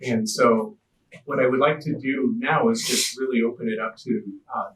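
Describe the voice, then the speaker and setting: A soft-spoken man guides a committee meeting in a calm, measured way. His voice sits in a middle register and is picked up from across an echoey room, with faint noise behind it. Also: medium pitch, far from the mic. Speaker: meeting facilitator. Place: school district meeting room.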